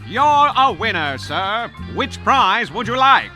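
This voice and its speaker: An actor playing a polite roulette operator in a cartoon adventure game, with formal huckster's patter. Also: male voice, high-pitched, very animated, slow, bright, forceful tone, heavily acted. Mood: brisk calling. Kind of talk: animated adventure game dialogue. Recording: game music behind the voice.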